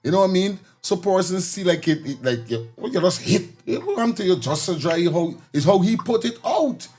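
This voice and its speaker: A laid-back man chimes in during a casual talk show. His voice sits a little high for a man, and he talks in an easygoing way. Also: animated, moderate pace, a little mellow, husky. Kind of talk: casual chat.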